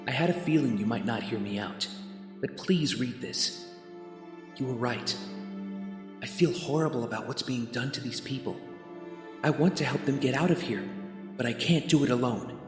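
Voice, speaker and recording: A man's voice: medium pitch, lightly acted. An actor playing an earnest, guilt-ridden young man seeking forgiveness in an audio drama, speaking apologetically. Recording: echoey, long reverb, music behind.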